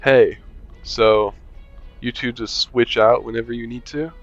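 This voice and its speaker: A man reading a visual novel aloud as a gamer, voicing narration and the player in a relaxed, wry way and muttering while he plays. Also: medium pitch, unhurried, no room sound. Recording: lo-fi mic with faint music.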